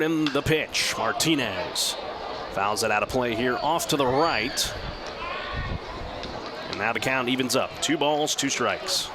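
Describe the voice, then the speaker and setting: A man working as a radio play-by-play announcer, giving live baseball commentary in a measured, descriptive way that lifts with the action. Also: mid-range voice. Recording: clear outdoor ballpark background noise. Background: outdoor stadium ambience.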